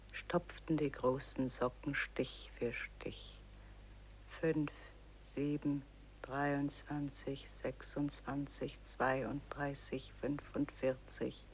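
A woman's voice: a bass voice, slight background noise, heavily acted, rough recording. An actress playing an anxious old village woman in a poetic radio drama, incantatory, moving between whispers and outbursts. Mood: counting, trance-like.

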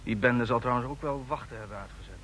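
An actor in a Dutch radio play voicing a courteous, authoritative army general with a formal, commanding manner. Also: male voice, medium pitch, fast talker, fairly bright tone, slight background noise, close to an everyday voice.

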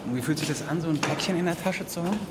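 An actor playing a laconic young pharmacy heir with a dry, teasing manner in a naturalistic radio drama. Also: male voice, a little high, racing, lightly acted. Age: young adult. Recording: noisy on-location ambience.

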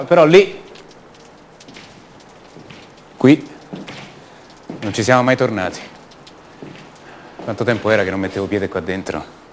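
An actor playing a bitter, restless grown son back in his family home, delivering a halting radio theatre monologue that repeats words and confides in the listeners. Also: mid-range voice, close to an everyday voice. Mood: nostalgic, musing. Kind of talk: stage-play monologue acted for radio theatre.

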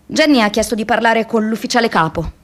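Radio drama: actress as idealistic young woman activist, higher-pitched, expressive first-person diary storytelling. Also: a little fast, close to an everyday voice. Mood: grave recounting. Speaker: stage actress. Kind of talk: radio theatre monologue.

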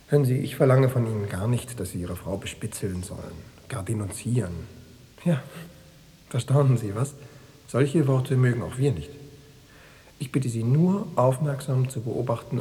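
A man in a radio play acting a smooth, insinuating East German secret police officer, falsely reassuring and bureaucratic. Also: lowish voice, dark tone, long reverb tail.

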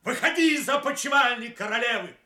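An actor playing a furious accusing knight in a theatrical radio play, shouting and taunting. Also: male voice, very high-pitched, expressive, a little fast, reverberant, full character voice. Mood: furious, triumphant. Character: furious accusing male knight hunting a traitor.

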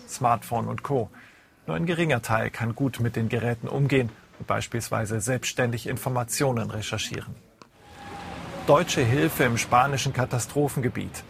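A man with a standard German accent reading a scripted news bulletin in a formal, measured way. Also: medium pitch, creaky, a bit bright, a little hiss or hum. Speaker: news reader.

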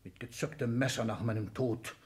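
An actor playing an ailing, power-hungry old tycoon and father in a 1960s German radio play, declamatory and commanding, moving between whispers and shouts. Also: medium pitch, moderate pace, lightly acted. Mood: bitter ranting. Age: older.

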